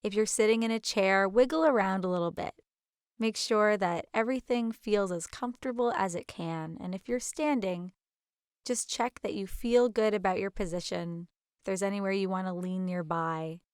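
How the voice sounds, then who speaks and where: A woman leading a guided meditation as instructor, with a gentle, soothing, unhurried manner. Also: medium pitch, smooth, no echo, studio quality.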